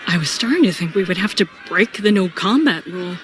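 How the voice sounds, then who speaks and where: A woman's voice: fairly low pitch, animated, fairly quick, soft background music. A woman giving scripted, dramatic first-person narration as the narrator of an audio story.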